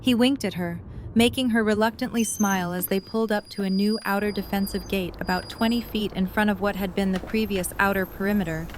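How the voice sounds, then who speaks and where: A woman's voice: medium pitch, fairly even intonation, a little fast, slight background noise. A woman reading scripted third-person story narration for an audio drama.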